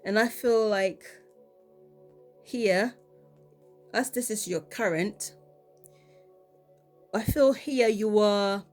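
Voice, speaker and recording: A woman with a cold giving a casual tarot card reading, talking in a relaxed way with many ums and repeated "okay?". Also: medium pitch, very slow, long pauses, faint music behind. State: has a cold. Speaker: tarot reader.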